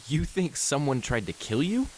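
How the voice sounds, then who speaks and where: A man acting in a radio play as a shrewd, unflappable small-town lawyer, speaking in a polite and measured way. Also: fairly high pitch, big pitch swings, slight background noise. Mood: casual. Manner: polite, measured, quietly persuasive.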